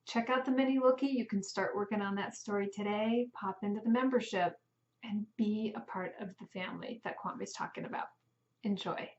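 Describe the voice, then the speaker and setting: A woman's voice: medium pitch, a little fast. A woman swim instructor giving a heartfelt, casual video message to her students, with frequent ums. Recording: roomy, dull sound.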